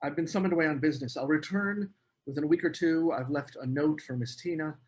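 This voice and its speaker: An actor plays an evasive, well-mannered gentleman in a drama table read. His husky voice sits a little high for a man, and his formal courtesy carries an uneasy edge. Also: fast. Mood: flustered. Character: anxious, evasive gentleman lodger, politely making excuses.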